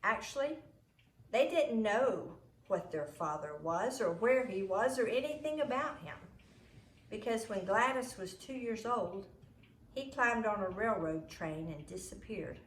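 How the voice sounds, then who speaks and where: Female storybook reader, expressive delivery, medium pitch, roomy home recording with faint noise. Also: measured pace. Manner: expressive, acts out the dialogue. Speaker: mother reading a children's book aloud. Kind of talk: storybook read-aloud narration.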